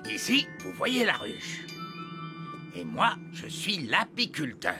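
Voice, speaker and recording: Excitable cartoon beekeeper: creaky, swooping all over, emphatic, music behind. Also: male voice, high-pitched, slow, full character voice. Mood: helpful. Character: helpful, excitable beekeeper full of warnings. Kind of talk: French cartoon dub acting.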